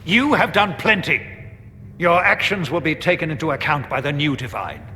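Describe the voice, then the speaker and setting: An actor playing a haughty, hostile church chancellor in a fantasy game, indignant and accusing. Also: male voice, a little high, sing-song, normal speed, sharp tone. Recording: echoing hall reverb, faint noise.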